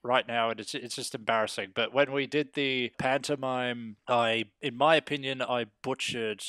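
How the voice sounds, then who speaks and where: Clear-voiced man in casual, rambling chat. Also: mid-range voice, fairly even intonation, moderate pace, a bit bright, dry recording. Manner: self-deprecating, joking, rambling. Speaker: interview guest, amateur voice actor.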